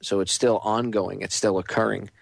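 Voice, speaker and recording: A man with a deep voice hosts a radio show. He talks casually and conversationally, straight to his listeners. The sound is dry and close, with no room around it. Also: fairly even intonation, moderate pace, creaky, no echo.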